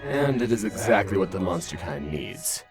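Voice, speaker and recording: A man playing a grave, resolute monster scientist in a comic dub, speaking in formal, measured, clinical phrases. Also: fairly low pitch, sing-song. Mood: calm, clinical. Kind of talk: dramatic comic dub acting. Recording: prominent music behind voice.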